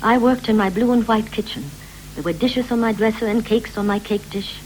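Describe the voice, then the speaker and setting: An actress playing a dutiful, busy housewife and doting mother in a 1960s radio drama, speaking in measured, clipped poetic phrases. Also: fairly high pitch, fairly even intonation, a little mellow, close to an everyday voice. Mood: matter-of-fact. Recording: noisy, humming 1960s radio recording.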